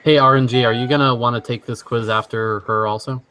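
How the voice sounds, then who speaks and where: A young man from Washington state hosting a casual online chat, easygoing and quick to laugh as he reads quiz statements. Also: mid-range voice, steady tone, background noise. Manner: easygoing, laughs often, halting restarts. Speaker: stream host.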